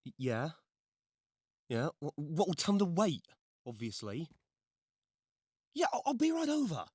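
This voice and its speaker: A man's voice: a little high, huge pitch swings, measured pace, darkish tone, breathy edge, dry recording, clean studio sound, heavily acted. A man playing a cowardly young mafia boss in an audio drama, stammering, blustering and nervously polite. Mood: flustered. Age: young adult. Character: cowardly loudmouthed young male mafia don, fawning and flustered. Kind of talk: audio roleplay acting.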